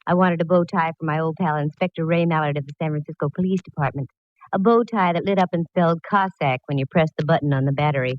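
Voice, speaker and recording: A woman's voice: deep voice, quick pace, no room sound, close to an everyday voice. An actress playing a breezy, wisecracking female private eye in 1940s radio style. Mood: wry narration. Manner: wry, flip. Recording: muffled, faintly hissy old radio recording.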